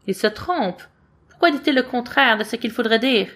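A woman's voice: a little high, animated, normal speed, fairly bright tone, slightly rough. Woman reading a story aloud. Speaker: amateur fan fiction reader. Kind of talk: scripted story narration.

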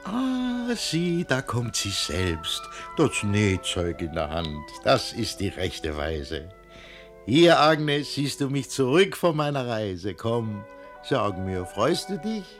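An actor playing a jovial, smug older gentleman in a verse comedy, with a declamatory, relishing delivery. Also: mid-range voice, very animated, slow, music in the background. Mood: pleased, patronising. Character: jovial, smug older gentleman, suspicious of women, fond of gossip. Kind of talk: theatrical verse comedy radio drama acting.

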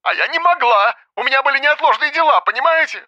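An actress playing a hysterical, accusing mother in an audiobook, shrill and agitated, heard as if over a phone. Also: expressive, fast talker, bright, forceful tone, no echo, dull audio. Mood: agitated, defensive. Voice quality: shrill, shrieking.

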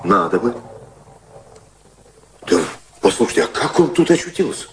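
An actor in a radio drama playing a wry, practical, devoted gentleman, ironic and urgent, with expressive delivery. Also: mid-range voice, normal speed, close to an everyday voice. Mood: probing. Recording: faint background noise.

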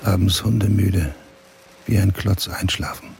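A terse, tired loner thinks aloud in a radio drama. His gravelly voice is very low and dark, in clipped phrases over faint music. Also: steady tone, unhurried, exaggerated voice. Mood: weary, terse. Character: weary, withdrawn labouring man's terse inner thoughts.

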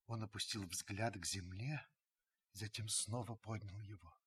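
Solemn witness guy narrating a radio play, really husky, slow n intense, sharp edge. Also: lowish voice, steady tone, exaggerated voice. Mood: sorrowful, tender. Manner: deliberate, intense, dramatic pauses. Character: solemn male witness narrating with mounting tension.

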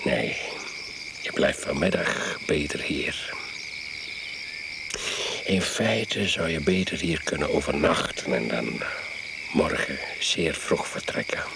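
An actor in a radio play playing an overbearing, eccentric older husband who is pushily hospitable and faintly menacing. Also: male voice, a bass voice, takes it slowly, gravelly, pauses often, exaggerated voice. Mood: pushy, unyielding. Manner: domineering, capricious, interrupting. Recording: noisy radio play recording.

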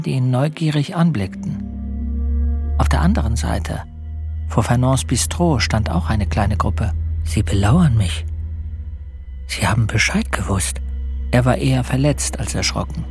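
An actor narrating a radio play crime story in a measured, sombre, literary manner. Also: male voice, medium pitch, lightly acted.

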